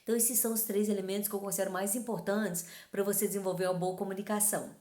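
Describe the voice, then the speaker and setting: Host, woman from Taiwan, middle pitch, chatty tag questions, reverberant room. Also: fairly even intonation, racing, warm tone. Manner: conversational, frequent tag questions. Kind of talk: talking-head advice talk.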